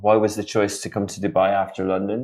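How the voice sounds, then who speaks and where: Fast-talking, very deep-voiced woman host. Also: flat delivery, darkish tone. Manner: quick and encouraging, with frequent brief affirmations. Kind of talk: interview conversation.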